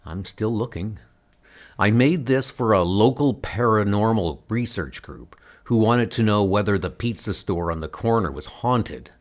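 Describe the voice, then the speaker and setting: A man's voice: on the low side, animated, unhurried. A man voicing a worried, determined inventor superhero in an earnest way, in a comic book audio drama. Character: anguished, determined adult male inventor hero, Steel. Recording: music under the voice. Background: music.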